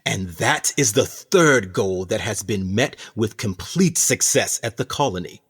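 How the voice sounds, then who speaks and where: An actor playing a smug, calculating prison captain in a radio play, lecturing in a pompous, theatrical manner. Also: male voice, on the low side, expressive, unhurried, fairly bright tone, breathy edge, heavily acted. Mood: controlled, persuasive. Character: smug, calculating male prison captain, proud mastermind of a colony.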